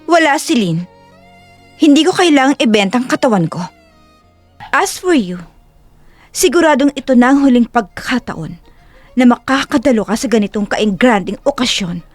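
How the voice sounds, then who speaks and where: A woman's voice: fairly high pitch, expressive, moderate pace, long pauses, no room sound, faint music behind. A woman voicing a proud, defiant young heiress in an audio drama, cool, cutting and self-assured.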